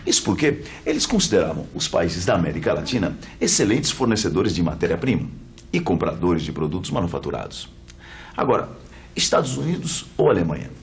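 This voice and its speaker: Very deep-voiced Brazilian man presenting. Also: sing-song, brisk, husky. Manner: didactic, rhetorical questions. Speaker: presenter of an educational history program. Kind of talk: scripted explanatory narration.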